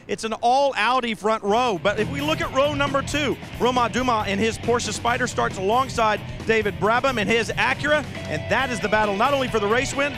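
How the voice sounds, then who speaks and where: A man reporting from pit lane for a race broadcast, talking over replay footage in a quick, lively way. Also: high-pitched.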